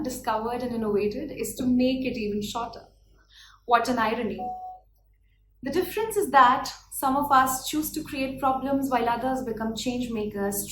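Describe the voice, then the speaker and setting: A woman of twenty with an Indian accent, delivering a prepared, earnest speech full of rhetorical questions. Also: fairly high pitch. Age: 20s. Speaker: speaker. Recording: echoey room, faint background noise.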